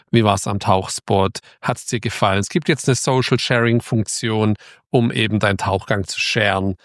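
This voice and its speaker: A man's voice: mid-range voice, no echo, clean studio sound. A man hosting a podcast, opening the episode and interviewing his guest in a casual, informal way. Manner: chatty, informal, with frequent restarts. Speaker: podcast host.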